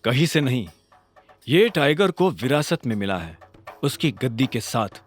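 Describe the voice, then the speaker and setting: A man voicing a self-assured young male fighter in an audio drama, calm, terse and firm. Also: medium pitch, a little slow, faint music behind. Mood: proud, calm. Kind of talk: Hindi audio drama character voice.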